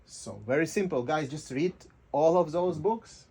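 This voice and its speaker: Mellow man, explaining with halting reasoning. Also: a little high, breathy edge. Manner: halting, rhetorical, often ends points with "right?". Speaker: interview guest. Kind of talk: casual philosophical explanation.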